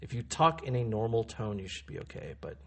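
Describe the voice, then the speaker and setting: A man's voice: medium pitch, lively intonation, fairly quick, a crowd in the background. A young man hosting a casual gaming podcast, running a sound check and restarting his intro. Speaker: podcast host. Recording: noisy home podcast mic.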